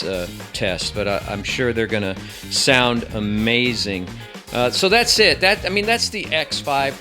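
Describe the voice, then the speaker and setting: A man giving a casual, rambling product review as a channel host, with wry asides. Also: lowish voice.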